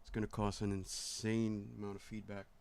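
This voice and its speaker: A man's voice: fairly low pitch, level tone, normal speed, vocal fry, a little mellow, clean tone. A young man streaming casual, slangy reactions to videos, muttering asides as he watches. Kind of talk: casual livestream reaction chat.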